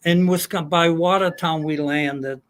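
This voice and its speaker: An older man from Germany telling his life story, halting with many fillers. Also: fairly high pitch, steady tone, a bit bright, smooth. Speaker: guest. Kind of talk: casual storytelling.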